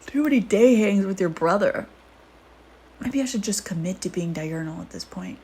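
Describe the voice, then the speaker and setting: A woman's voice: mid-range voice, expressive, normal speed, darkish tone, slightly rough. A woman playing a flirty, teasing vampire in an intimate audio roleplay, with a sardonic, casual manner. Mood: tired, soft. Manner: sardonic, teasing, casual murmuring with sighs and whispered asides.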